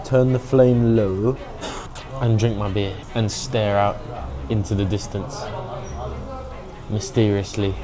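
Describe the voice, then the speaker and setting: A man's voice: lowish voice, little pitch movement, slow pace, long pauses, a crowd in the background. A young British man talking casually and informally as a food vlogger, now and then dropping Mandarin phrases into his English. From England. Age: young adult. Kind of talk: casual food review vlog.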